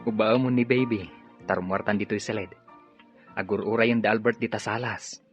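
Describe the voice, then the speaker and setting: A man's voice: lowish voice, fairly even intonation, fairly quick, faint music behind, natural voice. Brief: coaxing husband in a radio play, dull lo-fi audio, a man acting. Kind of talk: Ilocano radio drama acting.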